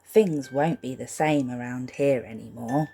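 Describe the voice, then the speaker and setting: A woman reads a picture book aloud expressively, gently reassuring young listeners and putting questions to them. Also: rumbling low, measured pace, soft background music. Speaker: storybook reader for young children.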